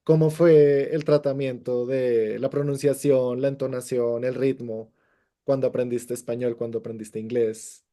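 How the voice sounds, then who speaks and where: A man hosting a casual podcast chat in his native Spanish, asking calm, measured questions. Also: mid-range voice. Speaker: host.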